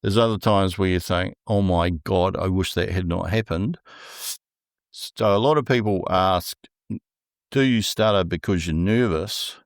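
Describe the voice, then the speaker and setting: A low, gravelly male voice with a New Zealand accent, talking slowly and deliberately with a stutter. He comes across as thoughtful and easygoing as a podcast guest. The recording is dry and close. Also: no echo. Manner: slow, deliberate speech with a stutter. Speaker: podcast guest, public speaker. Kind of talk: casual interview conversation.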